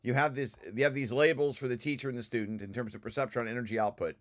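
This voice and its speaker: A man giving an informal technical walkthrough as a presenter, with halting, hesitant explanations and repeated words. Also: medium pitch, steady tone. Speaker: presenter reviewing own technical paper.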